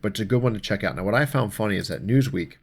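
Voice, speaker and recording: Deep-voiced man with a gravelly creak. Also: animated, rapid-fire. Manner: informal, conversational, mildly profane. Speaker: host of an explainer show. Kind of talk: casual news commentary, reading articles aloud.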